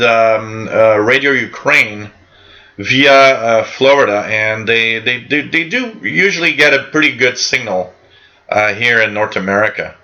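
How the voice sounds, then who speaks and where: Bright-voiced French-speaking man hosting a hobby stream. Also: mid-range voice. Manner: rambling, friendly, many fillers and restarts. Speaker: host of a live radio hobby show. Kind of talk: casual live-stream chat reading out viewer comments.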